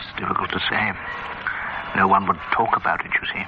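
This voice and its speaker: An actor playing a pompous older male gourmet, a club regular who talks in a smug, measured, teasing way in a radio drama. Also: sits low, flat delivery, natural voice. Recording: lo-fi old radio drama, heavy hiss.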